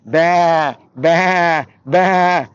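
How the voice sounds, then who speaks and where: Man acting earnest pleading boy, raised pitch. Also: drawn-out words, clean tone. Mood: exuberant. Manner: plaintive, exclaiming. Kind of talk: spoken religious folk tale. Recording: noisy dull recording.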